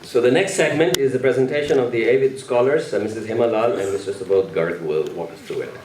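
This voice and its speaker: A man's voice: mid-range voice, quick pace, a little mellow. A man presenting at an awards ceremony, announcing the names of recipients to an audience in a hall. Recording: echoey hall, distant mic, crowd noise.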